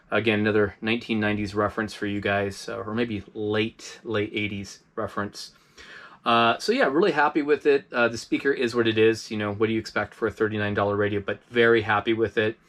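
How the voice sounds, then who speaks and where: A man casually reviewing a budget radio as host of his channel, relaxed and chatty with lots of fillers, recorded inside an RV. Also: lowish voice.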